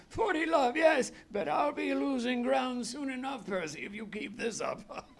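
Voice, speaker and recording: A man's voice: very high voice, lively intonation, takes it slowly, creaky, full character voice. A man acting a jovial, hearty old English uncle in a live radio play, chortling in an affected old-duffer way. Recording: live stage with organ music behind.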